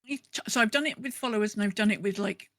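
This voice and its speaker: A woman's voice: medium pitch, quick pace, a bit bright, no echo. A woman co-hosting a podcast, giving a casual rundown of gaming news in a conversational, thinking-aloud way. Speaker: podcast co-host.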